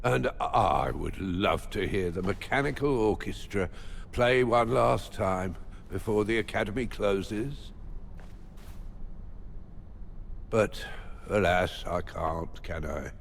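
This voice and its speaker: An actor playing a fussy, pompous, overworked academy rector in a video game, with hesitant, formal phrasing. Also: male voice, low voice, slow, gravelly, big-room sound, a little hiss or hum, heavily acted. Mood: wistful, sly. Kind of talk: video game dialogue acting.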